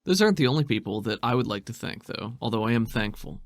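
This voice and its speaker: Podcast game master, middle pitch, casual improvised narration and chat, dry studio-like sound. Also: lively intonation, quick pace, dry recording.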